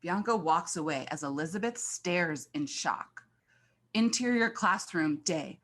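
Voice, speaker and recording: A woman's voice: fairly low pitch, expressive, unhurried, fairly bright tone. A woman reading scripted stage directions as the narrator of a screenplay.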